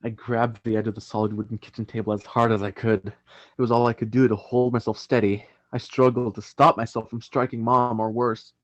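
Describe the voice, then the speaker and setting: An actor playing an angry, resentful teenage brother in a radio play, with bitter, emphatic short phrases. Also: male voice, mid-range voice, flat delivery, fairly quick, no echo, muffled recording, close to an everyday voice. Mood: tense, restrained.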